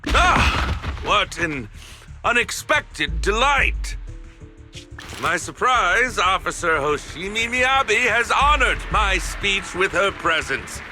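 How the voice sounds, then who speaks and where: Pompous showy speechmaker from a game, high pitched, drawn out slow, music behind. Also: male voice, big pitch swings, exaggerated voice. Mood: delighted, pompous. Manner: pompous, effusive. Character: flamboyant, grandiose male public orator. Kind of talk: theatrical public speech in game.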